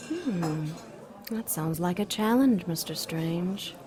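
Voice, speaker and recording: A woman's voice: fairly low pitch, expressive, slow pace. A woman voicing a seductive, imperious demon queen in an audio drama, coy, mocking and theatrical. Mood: teasing, coy. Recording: crowd chatter behind the voice. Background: crowd chatter.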